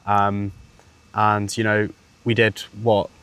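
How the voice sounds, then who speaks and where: A man's voice: fairly low pitch, completely flat delivery, slow pace, fairly bright tone, clear, resonant tone. A man of twenty, talking as an interview guest, confident and easygoing, with plenty of "you know". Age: 20s. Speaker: interview guest, sales closer. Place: villa, indoors.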